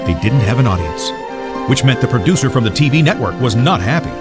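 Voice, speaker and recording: A man narrating a scripted children's story in an expressive, animated storytelling manner. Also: mid-range voice, fast talker, loud music behind the voice.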